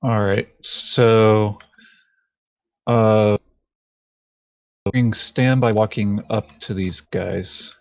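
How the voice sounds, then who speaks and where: A man's voice: lowish voice, fairly even intonation, very slow, vocal fry, pauses often, no room sound, lo-fi sound. A man chatting casually about tactics as a co-player in a board game.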